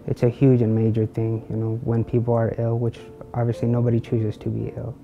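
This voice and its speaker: A man speaks earnestly in a very flat, monotone voice with a gravelly creak. The recording is dull, with faint guitar music behind him. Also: mid-range voice, a little fast. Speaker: psychiatric hospital patient. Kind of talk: documentary interview.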